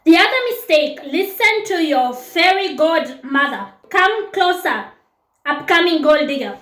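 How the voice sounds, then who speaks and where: A woman talks to camera in a chatty, teasing, direct way. Her voice sits high for a woman. The sound rings around an echoey room. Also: expressive, unhurried, fairly bright tone. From Kenya. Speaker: dating advice vlogger. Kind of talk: casual advice monologue to camera.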